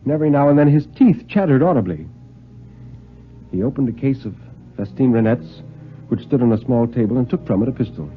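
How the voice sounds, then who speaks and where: Old radio story guy, very animated and theatrical, careful diction, muffled vintage sound. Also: mid-range voice, soft background music. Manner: theatrical, deliberate, precise diction. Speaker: dramatic reader on a radio show. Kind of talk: 1950s dramatic story reading.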